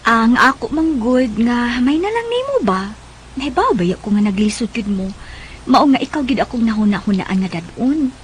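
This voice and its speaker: A woman's voice: on the high side, sing-song, normal speed, a little hiss or hum. A woman playing a friendly, persuasive neighbour with a Cebuano Bisaya accent and a coaxing manner in a radio drama. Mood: reassuring, eager.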